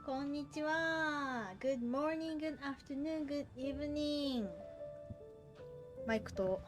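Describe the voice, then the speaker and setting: A woman's voice: high-pitched, unhurried. A woman livestreaming a game, chatting casually and reacting aloud as she plays. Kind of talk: casual game stream chat. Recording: game music behind. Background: video game music.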